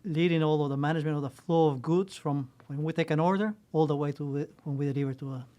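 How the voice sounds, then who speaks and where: A man from Costa Rica in his fifties, answering in an earnest, unpolished way that circles back on itself. His voice sits a little high for a man. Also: fairly even intonation, fast talker, mellow, muffled recording. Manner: earnest, loose with restarts and "right?". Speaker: supply chain executive. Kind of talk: interview answers.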